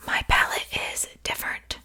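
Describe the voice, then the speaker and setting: A chatty, faintly haughty witch, acted out by a woman for an ASMR roleplay. She speaks entirely in a breathy whisper with a very bright, sharp edge. Her delivery is unhurried and confiding, as if sharing gossip over her cooking. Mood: musing, haughty.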